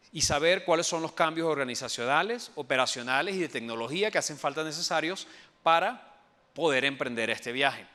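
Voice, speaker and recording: A man from Venezuela with a Venezuelan accent, giving a conference presentation as an enterprise architect, pausing often with fillers and restarts. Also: on the high side, sharp tone. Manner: hesitant, many fillers and restarts. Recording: long hall reverb.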